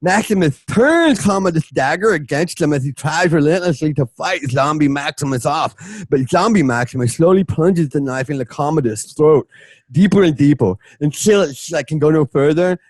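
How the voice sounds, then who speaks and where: A man's voice: on the high side, normal speed, a little mellow, no room sound. A man narrating a radio play script in a loose, comic way, dropping wry, casual asides.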